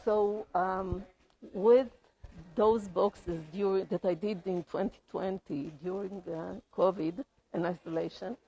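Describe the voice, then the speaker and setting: A woman's voice: medium pitch, steady tone, slow, mellow, breathy edge, rough recording. A woman artist from Poland, speaking hesitantly with many fillers in a museum gallery. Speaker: exhibiting artist. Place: art museum gallery, indoors.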